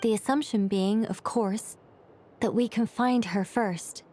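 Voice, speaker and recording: A woman playing a wise, calm companion who speaks thoughtfully and gently guides others in a game cutscene. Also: mid-range voice, a little slow, a little hiss or hum, close to an everyday voice. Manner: thoughtful, reflective, gently guiding.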